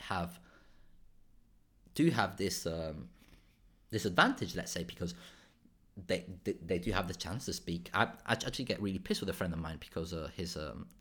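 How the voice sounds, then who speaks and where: A man from southern Spain hosts a solo podcast, thinking aloud in a halting, unscripted way. His voice sits deep and low. He keeps restarting phrases and tacking "right?" onto his points. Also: a bit bright. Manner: halting, many restarts and tag questions like "right?". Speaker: podcast host. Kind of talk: casual solo podcast monologue.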